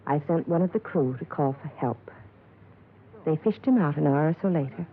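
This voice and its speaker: An actress playing a cool, evasive, wealthy widow in a 1950s radio drama, with an aloof, curt and impatient way of talking. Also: female voice, sits low, animated, normal speed, phone-quality sound, slight background noise. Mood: guarded. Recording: thin, band-limited old radio recording.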